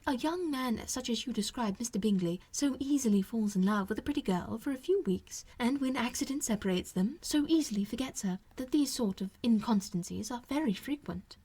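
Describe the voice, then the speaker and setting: A woman voicing a sensible, kindly married aunt in an audiobook, elegant and composed, with measured, reasonable delivery. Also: on the high side, expressive, dark tone, slightly rough. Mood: sympathetic, reasoning. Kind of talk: audiobook character voice.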